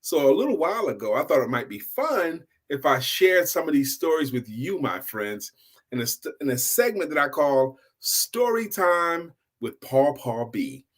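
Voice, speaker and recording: A man's voice: a little high, sing-song, normal speed. A middle-aged man hosting an informal motivational storytime, repeating key phrases for emphasis like a seasoned speaker. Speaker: storytelling host, sales coach and speaker.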